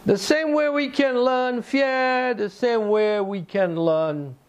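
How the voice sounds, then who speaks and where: An older man preaching a sermon from a lectern in a small room, slow and deliberate with pauses. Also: very high-pitched, slight background noise. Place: small indoor room by a fireplace mantel.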